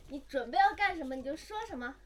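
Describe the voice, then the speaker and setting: Very high, animated woman co-host. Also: fast talker, a crowd in the background. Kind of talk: casual chat, explaining games. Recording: slightly distant mic, music behind.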